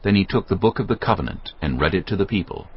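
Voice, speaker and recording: A male narrator reads scripture in a deep, measured voice. His delivery rises and falls expressively. Music sits behind the slightly dull recording. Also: lively intonation, a little hiss or hum. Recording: dull studio sound over clear music.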